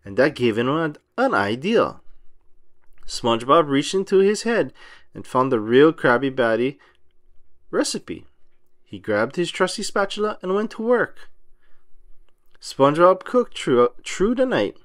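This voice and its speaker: A man narrates a children's storybook in a fairly high, very lively voice. He reads expressively and gives the dialogue its own performance, recorded close and dry. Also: a little slow, long pauses, no room sound. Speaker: storybook reader.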